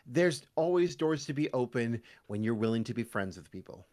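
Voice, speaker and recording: A man's voice: medium pitch, lively intonation, moderate pace, darkish tone. A Canadian man chatting casually as a podcast guest, animatedly slipping into mock voices when he quotes people. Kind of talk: casual interview chat.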